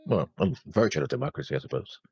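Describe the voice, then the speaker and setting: A man's voice: rumbling low, lively intonation, darkish tone, slightly rough, dry recording. A man co-hosting a casual discussion, agreeing and then carefully qualifying his points. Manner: hedging, conceding, measured qualifications. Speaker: reaction show co-host.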